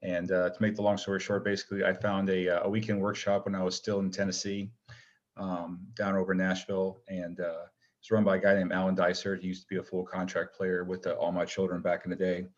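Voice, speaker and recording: A man's voice: sits low, completely flat delivery, fast talker, dull audio. A middle-aged man chatting casually as an interview guest, modest and hesitant as he tells his story. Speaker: guest, actor and voiceover talent.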